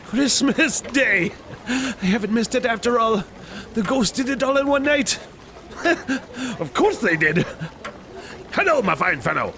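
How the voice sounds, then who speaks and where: A man's voice: extremely high pitch, big pitch swings, measured pace, pauses often, sharp tone, heavily acted. A man playing an elderly reformed miser in an audio drama, theatrical, exclamatory and giddy with joy. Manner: theatrical, exclamatory, bursting into laughter.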